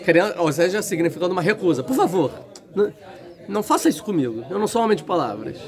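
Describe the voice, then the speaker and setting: A man's voice: high-pitched, expressive, fast. A man teaching a casual Torah lesson to a class, thinking aloud, restarting sentences and putting questions to the students. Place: large indoor room. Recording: room with crowd noise. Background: students in the room.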